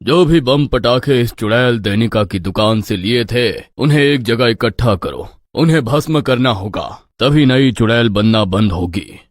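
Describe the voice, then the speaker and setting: A man's voice: mid-range voice, expressive, a little slow, hardly any pauses, dry recording, close to an everyday voice. A man playing a stern, righteous village priest in a moral tale, solemn and commanding. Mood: urgent, commanding. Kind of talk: dramatic moral-tale voice acting.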